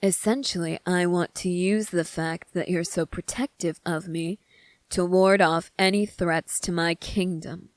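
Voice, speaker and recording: A woman playing a cunning, self-assured young prince in an ASMR roleplay, speaking in a measured, formal, teasing way. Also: lowish voice, steady tone, no echo, lightly acted. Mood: calm, persuasive.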